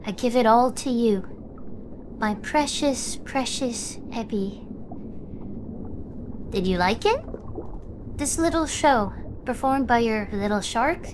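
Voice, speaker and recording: A woman's voice: on the high side, animated, takes it slowly, long pauses, background noise. A woman voicing a young shark girl idol, bitter and wounded, in a theatrical, dramatic drama delivery. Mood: bitter, taunting.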